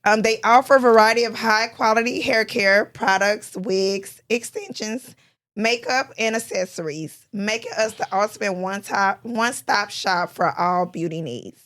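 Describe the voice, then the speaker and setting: A woman's voice: medium pitch, a bit bright. A woman hosting a casual salon-industry podcast. She chats easily, laughs often and stumbles a little over read-out introductions. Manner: chatty, laughs often, reads introductions haltingly. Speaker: podcast host. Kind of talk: casual chat.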